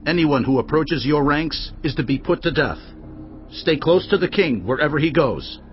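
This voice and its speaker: A firm, commanding priest, as a man acts him out, barking orders in a fairly high male voice for a Bible drama. The sound is a little dull, with music playing behind. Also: lively intonation, normal speed, close to an everyday voice.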